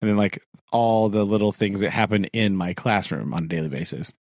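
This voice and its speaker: A man's voice: fairly low pitch, fairly quick, darkish tone, no room sound, clean studio sound. A man, a teacher co-hosting a podcast, chatting casually, laughing often and acting out his own thoughts. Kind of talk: casual chat. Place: home.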